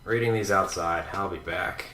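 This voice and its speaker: Man acting a low-voiced, gruff cynical detective. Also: normal speed, sharp tone, slight background noise. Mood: brusque, guarded. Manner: gruff, sarcastic, terse. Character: cynical, brusque male big-city detective haunted by his past. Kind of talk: radio drama acting.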